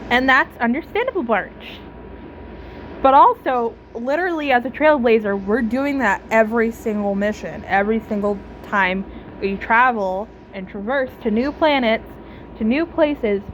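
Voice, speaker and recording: A woman giving casual, reflective game commentary, thinking aloud haltingly and often repeating words. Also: fairly high pitch, a little hiss or hum, low-quality recording. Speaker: game streamer. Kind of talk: casual commentary while playing a story game.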